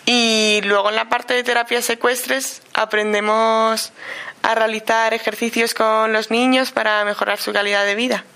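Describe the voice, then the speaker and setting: A young adult woman from Madrid explaining her workshop in a steady way. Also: fairly high pitch, moderate pace.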